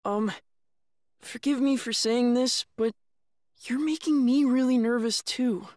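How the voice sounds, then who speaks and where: A woman's voice: on the high side, unhurried, darkish tone, dry recording, clean studio sound, close to an everyday voice. A woman voicing a blunt, sullen young boy in an anime dub, speaking in a matter-of-fact way. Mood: uneasy, polite.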